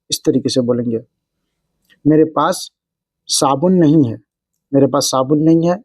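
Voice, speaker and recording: A man's voice: mid-range voice, a little mellow, no room sound. A man hosting an instructional language lesson, explaining phrase by phrase and repeating each example. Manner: repetitive, explanatory, phrase-by-phrase delivery. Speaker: language teacher and video host.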